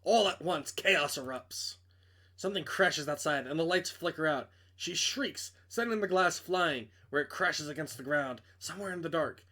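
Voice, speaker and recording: A man's voice: fairly high pitch, moderate pace, pushed and bright. A man reading a visual novel aloud as dramatic narration, with off-the-cuff asides and stumbles that he corrects. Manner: stumbles over words and corrects himself.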